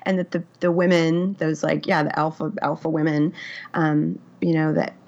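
Gravelly woman author, thoughtful and hesitant. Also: low voice, level tone, moderate pace, a little mellow. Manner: reflective, hesitant, many fillers and restarts. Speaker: memoir author, guest. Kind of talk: candid conversational interview. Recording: dull, lo-fi audio.

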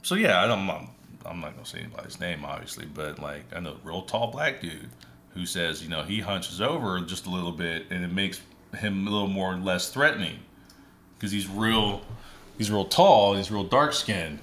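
Bright-voiced man in casual chat. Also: low-pitched, slight background noise. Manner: thoughtful, halting, many fillers. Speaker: podcast co-host. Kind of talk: casual conversation.

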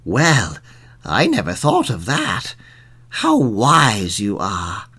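A simple-minded old village man put on by a male narrator talks in slow, wondering phrases. His voice swoops dramatically in pitch and sounds naive. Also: huge pitch swings, bright, forceful tone, breathy edge, exaggerated voice.

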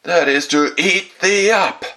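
A man voicing a sly, hungry storybook wolf who softens his voice to coax and deceive. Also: high voice, voice pushed up, exaggerated voice. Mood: menacing coaxing. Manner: coaxing, drawn-out, put-on softened voice. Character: sly hungry male wolf posing as sweet grandmother.

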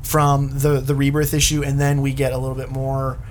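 A man's voice: mid-range voice, completely flat delivery, brisk, a little hiss or hum. A man hosting a casual comic book podcast in an informal, enthusiastic manner. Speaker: podcast host.

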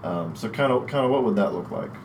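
A man's voice: on the low side, lively intonation, fast talker, a little hiss or hum. A man hosting a church podcast, reading a friendly, upbeat intro and asking his guest questions. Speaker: podcast host.

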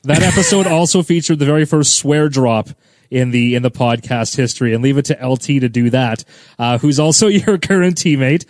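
A man's voice: mid-range voice, lively intonation, brisk, no echo. A man hosting a podcast, talking in a rambling, friendly way and often repeating words as he asks questions. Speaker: podcast host. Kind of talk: casual podcast chat.

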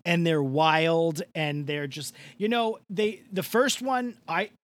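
A man's voice: on the high side, takes it slowly, no echo. A man co-hosting a casual chat show, talking loosely and profanely, often breaking into mocking voices. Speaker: podcast co-host.

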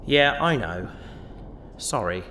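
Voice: medium pitch, lively intonation, slow, background noise. An actor playing an easygoing, glib, apologetic treasure hunter with a casual manner in a sci-fi audio drama. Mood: placating, sheepish. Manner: glib, casual, self-justifying.

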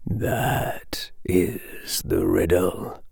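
A man voicing a sinister cloaked male mist being in a slow, dry, sibilant whisper, coaxing and insinuating. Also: low-pitched, steady tone, gravelly, a little mellow, breathy edge, no echo, heavily acted. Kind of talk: audiobook character voice.

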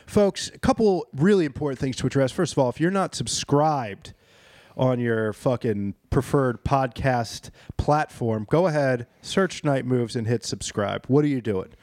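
A man co-hosting a podcast, casually plugging the show with loud, profane energy. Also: medium pitch, moderate pace, no room sound, studio quality. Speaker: podcast co-host. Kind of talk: crude casual podcast banter.

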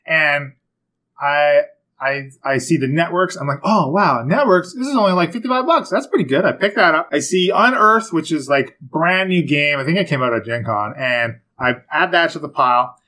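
A man's voice: a little high, expressive, moderate pace, pushed and bright. Rambling, cursing podcast co-host man. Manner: rambling and profane, laughs often, self-mocking asides. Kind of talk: casual podcast chat, board game talk.

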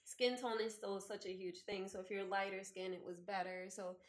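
A woman's voice: medium pitch, flat delivery, moderate pace. A woman from the Bronx talking reflectively in a casual conversation, with a lot of "like" fillers. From the Bronx, New York. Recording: roomy echo.

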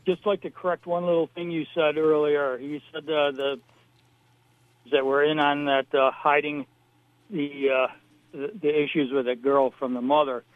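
A man calling into a radio show, halting and filling pauses with ums and uhs. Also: fairly high pitch, little pitch movement, no room sound. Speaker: caller. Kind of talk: call-in phone comment. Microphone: telephone. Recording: tinny phone line.

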